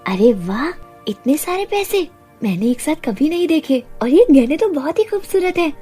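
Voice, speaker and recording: Woman playing a greedy, high-voiced scheming girl. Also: big pitch swings, normal speed, mellow, soft background music. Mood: gloating, greedy. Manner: bossy, gloating.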